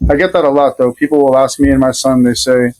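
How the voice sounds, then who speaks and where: A man from Rhode Island with a Rhode Island accent, a father and podcast guest, telling a story in an easygoing, loosely rambling way with many pauses. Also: mid-range voice, level tone, a little mellow, rough recording. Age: adult father. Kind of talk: casual conversational storytelling.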